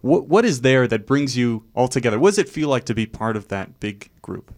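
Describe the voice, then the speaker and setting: A man's voice: medium pitch, animated, a little fast, darkish tone. A man hosting an interview podcast, friendly and conversational, laughing easily. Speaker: podcast host.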